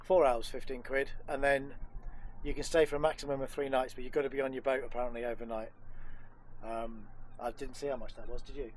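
A man's voice: mid-range voice, flat delivery, a little fast, a little hiss or hum. A man in his fifties, a vlogger host, chatting casually and self-deprecatingly to a camera held at arm's length on a sailboat outdoors.

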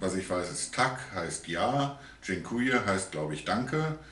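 A man in his thirties speaking hesitantly and deadpan in a video diary, recorded indoors on a camera. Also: low-pitched, a little slow, slight background noise. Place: small room in a flat, indoors.

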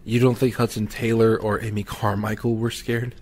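A man's voice: on the low side, level tone, moderate pace, darkish tone, natural voice. A middle-aged man playing an earnest, encouraging father guiding his son in an audio drama.

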